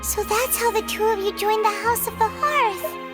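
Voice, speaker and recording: A woman voicing a tiny, childlike fairy companion in a video game, chirpy, blunt and curious, with a squeaky voice. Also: moderate pace. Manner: exclaiming, refers to self in third person. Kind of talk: video game cartoonish voice acting. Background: prominent background music.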